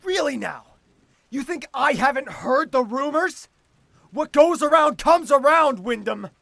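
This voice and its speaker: A man's voice: very high voice, expressive, measured pace, creaky, pushed and bright, dry recording, full character voice. A man playing a haughty, wounded royal ruler in an audio drama, speaking formally and imperiously. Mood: furious.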